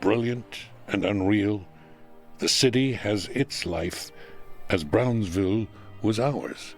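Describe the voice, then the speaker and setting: A man giving a measured, expressive literary reading of memoir excerpts. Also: on the low side, soft background music.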